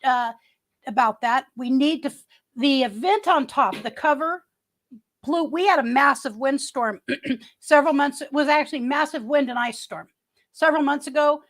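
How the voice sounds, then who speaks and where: A woman's voice: high voice, normal speed, fairly bright tone. A woman in her early sixties hosting a casual livestream from home, chatting and reading viewer comments in a rambling way with many restarts. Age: 60s. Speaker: livestream host.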